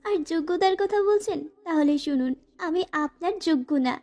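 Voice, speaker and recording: A woman's voice: very high-pitched, expressive, brisk, warm tone. A woman playing a frightened, pleading young student bride in a dramatized first-person romantic audio story. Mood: sorrowful, resigned. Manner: plaintive, pleading.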